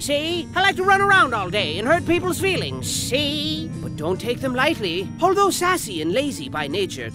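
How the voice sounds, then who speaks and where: A man's voice: extremely high pitch, exaggerated voice. A man voicing a zany, theatrical storyteller in a video game, hammy and mock-grandiose with casual asides. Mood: grouchy mimicry, then mock alarm. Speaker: game narrator. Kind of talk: comic scripted cutscene narration. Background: music under the voice.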